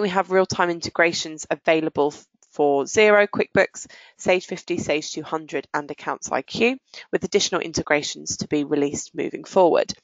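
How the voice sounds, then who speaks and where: Casting: female software demo presenter, lower-pitched with noticeable vocal fry, on a dull, dry recording. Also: no echo. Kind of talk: scripted product demo presentation. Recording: dull, muffled, lo-fi audio.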